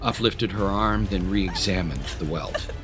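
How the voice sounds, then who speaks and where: A man's voice: low voice, a little slow. A man narrating a scripted audio drama as a dramatic storyteller. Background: music.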